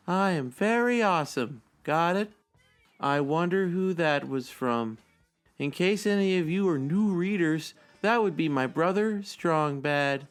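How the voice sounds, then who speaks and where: A man playing a meek, self-pitying young cartoon blogger who reads his diary in a mournful, plaintive way. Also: high-pitched. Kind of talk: dramatic reading of a cartoon character's blog.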